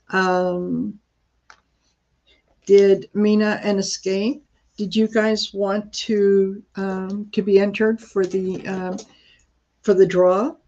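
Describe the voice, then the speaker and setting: A woman from Croatia hosting a casual live stream, talking in a rambling, halting way with many fillers and restarts. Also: mid-range voice, mellow, dull audio.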